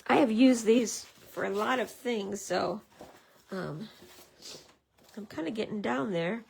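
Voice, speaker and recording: Woman, raspy from a cold, gravelly edge, casual tutorial, chatty with fillers. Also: mid-range voice, slow pace, a little mellow, slight background noise. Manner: chatty, greets viewers, many fillers. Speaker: craft demonstrator. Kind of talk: casual live craft tutorial.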